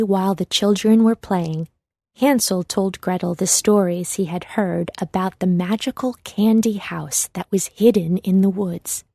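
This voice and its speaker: A woman narrating a children's storybook in a measured read-aloud manner. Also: medium pitch, mellow, no echo, clean studio sound.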